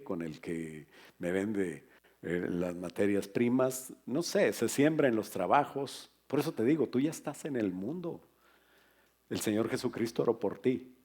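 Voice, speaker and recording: A husky, lower-voiced man preaches with animated energy, joking with the congregation and then pressing his points home. He is a middle-aged pastor speaking in a church. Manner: lively preaching with humor and asides to the congregation. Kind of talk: sermon.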